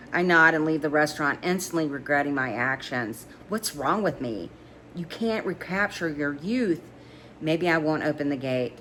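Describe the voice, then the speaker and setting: Female narrator, low register, informal and animated romance read-along with small stumbles, some noise behind her. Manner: stumbles over words and giggles while reading. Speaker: narrator reading a novel aloud. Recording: clear background noise.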